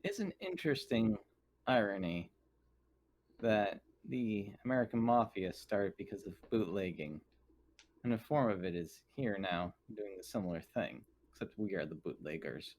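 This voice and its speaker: Man playing a formal, deadpan crew woman. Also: fairly low pitch, normal speed. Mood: dry, matter-of-fact. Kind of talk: in-character tabletop roleplay.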